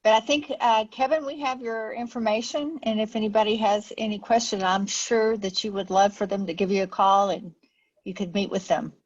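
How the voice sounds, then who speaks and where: A woman's voice: medium pitch, fairly even intonation, normal speed, muffled recording. A woman closing a club meeting as its organizer, friendly and thankful. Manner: friendly, thankful, uses "y'all".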